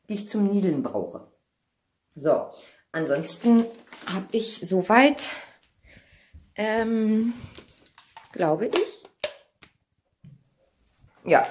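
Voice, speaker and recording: A woman's voice: mid-range voice, a little mellow. A woman in her thirties from Germany chats casually to her vlog, rambling and thinking aloud, recorded on a phone at arm's length indoors at home. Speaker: vlogger. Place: indoors at home, kitchen, hallway and bathroom.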